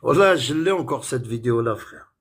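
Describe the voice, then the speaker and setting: A man's voice: medium pitch, animated, normal speed, husky. A man from France talking on a phone live stream, indignant and repetitive, dropping Arabic words into his speech. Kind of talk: heated casual live-stream rant.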